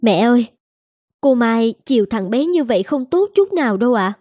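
A woman acts as an earnest young mother in a cartoon. She reasons politely and firmly, in a slightly high, mellow voice with light music behind her. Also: expressive, moderate pace, no room sound. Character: earnest, firm young mother of a small boy. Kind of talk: scripted cartoon dialogue.

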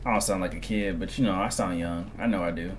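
A man in his twenties commentating on a game through a headset, excitable and slangy, repeating himself. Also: sits low, quick pace, a little hiss or hum. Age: 20s. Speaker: gaming streamer. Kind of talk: casual gameplay commentary.

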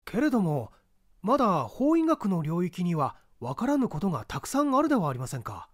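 A man as a polite questioner, lilting and high. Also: wildly swooping pitch, brisk, no echo, studio quality, exaggerated voice. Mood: curious, questioning. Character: inquiring, deferential junior listener questioning a professor. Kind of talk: dramatic audiobook reading of mystery fiction.

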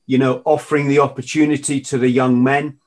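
Casting: British man, middle-aged, mid-pitched voice, reflective podcast host in relaxed conversation. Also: fairly quick. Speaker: podcast host, former marine. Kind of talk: casual interview. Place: indoor room, video call.

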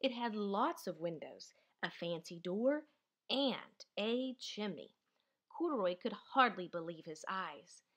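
A woman reading a children's picture book aloud in an expressive, deliberate storytelling manner, drawing out certain words. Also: medium pitch. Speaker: storybook reader.

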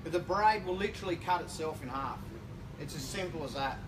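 Australian male shop worker, fairly high pitch, blunt casual explainer, distant microphone. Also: expressive, brisk. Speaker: fishing shop staff. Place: indoor fishing tackle shop. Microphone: distant camera microphone. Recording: distant mic, noisy shop room.

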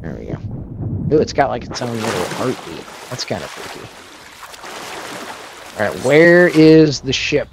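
A man talks casually through a gaming session, his pitch swinging in a very animated way. There is faint noise and clicking behind his voice. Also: a little slow, pauses often. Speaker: gamer playing co-op with friends.

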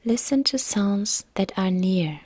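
A woman yoga nidra instructor narrating a guided relaxation meditation in a calm, soft, gentle, breathy way. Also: mid-range voice, takes it slowly, dry recording.

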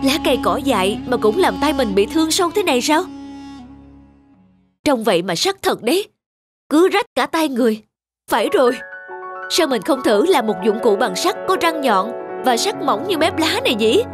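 Fretful master carpenter, high-pitched. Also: female voice, sing-song, moderate pace. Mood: wondering. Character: male master carpenter, middle-aged, strict, resourceful, fretful. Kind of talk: cartoon folk-tale dubbing. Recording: music behind the voice.

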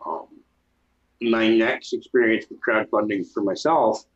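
A man in his thirties from New York, a guest speaking calmly with many pauses over a video call from home. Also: fairly low pitch. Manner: calm, plain, many filler pauses. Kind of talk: casual interview chat. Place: home room. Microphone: video call with webcam mic. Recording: dull lo-fi webcam audio.

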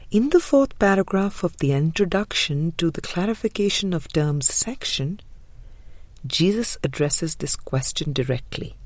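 Female audiobook narrator for spiritual material: husky tone, very unhurried, measured delivery. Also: on the low side, a little mellow, dry recording. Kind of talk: scripted spiritual book reading.

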